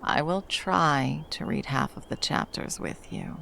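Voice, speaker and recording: A woman playing a battle-worn monster hunter, gruff but tenderly protective, in an intimate ASMR roleplay with soft teasing and drops into whispers. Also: low voice, wildly swooping pitch, a little slow, a little hiss or hum, heavily acted. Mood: teasing, affectionate.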